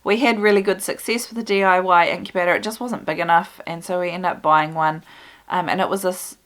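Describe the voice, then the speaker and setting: A woman with a New Zealand accent and a croaky voice, chatting through a homestead how-to with frequent ums. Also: on the low side, fairly even intonation, fairly quick, fairly bright tone. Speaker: homestead video host. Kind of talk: casual tutorial. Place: small music studio indoors.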